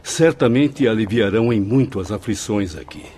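A man's voice: fairly low pitch, normal speed, mellow, slight background noise, close to an everyday voice. A Brazilian man playing an earnest, anxious spirit pupil in a radio drama, speaking formally and emotively. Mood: admiring.

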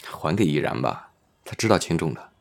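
A man acting a calm, modest actor and mentor in an audio drama, speaking in a mild, reserved, reassuring way. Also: a bass voice, moderate pace, vocal fry, full character voice. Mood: hesitant.

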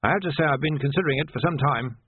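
A man's voice: fairly high pitch, rapid-fire, breathy edge, no echo, voice pushed up. A man voicing a flustered, proud but doubting police captain of nearly seventy, hesitant and full of old-fashioned military bluster, in an audiobook. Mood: resigned. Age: 60s. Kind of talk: audiobook character voice. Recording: narrow, phone-like band.

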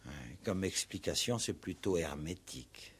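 A man playing a gruff, insistent police commissioner in a 1960s French-language radio play, with colloquial, probing talk and hesitant repetitions. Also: low-pitched, lively intonation, measured pace, heavily acted. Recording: vintage 1960s radio drama recording.

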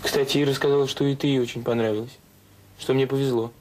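An actor playing a caring, attentive young adult son in a Soviet audio drama. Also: male voice, fairly even intonation, quick pace, darkish tone, lightly acted. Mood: concerned. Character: caring, attentive grown son about to marry. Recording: faintly noisy old recording with rumble.

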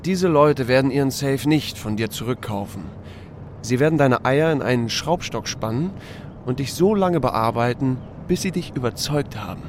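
Male audiobook narrator, mid-pitched, dry and understated first-person storytelling, noticeable background noise. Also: lively intonation, moderate pace. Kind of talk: scripted narration with acted dialogue.

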